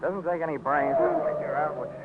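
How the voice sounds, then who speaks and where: An actor playing a tough, sardonic American private investigator in a 1940s radio detective drama, brusque and wisecracking. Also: male voice, a little high, steady tone, fairly quick, natural voice. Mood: sardonic, pressing. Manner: wisecracking, hard-boiled, brusque. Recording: noisy, tinny 1940s radio recording.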